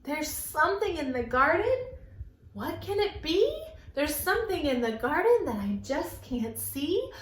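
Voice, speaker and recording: A woman leading an interactive storytime for young children in an animated, encouraging, sing-song way. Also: high-pitched, a little slow, roomy sound. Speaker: children's library storytime host.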